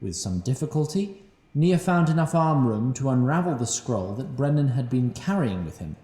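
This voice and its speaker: A male narrator telling a fantasy tale with a lively, rising-and-falling pitch. He sounds a little distant from the mic, in a roomy, echoing space. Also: moderate pace.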